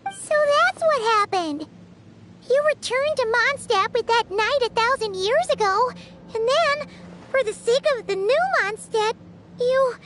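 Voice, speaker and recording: A woman voicing a tiny, childlike fairy companion in a video game, squeaky, earnest and inquisitive. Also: unhurried, background noise. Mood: earnest concern. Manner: animated, inquisitive. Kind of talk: cartoonish video game dialogue. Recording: loud music under the voice.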